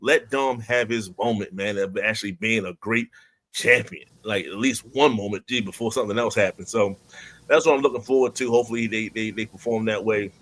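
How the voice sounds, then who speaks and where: A man on a casual panel chat, relaxed and offhand as he gives his picks. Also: lowish voice, a little fast.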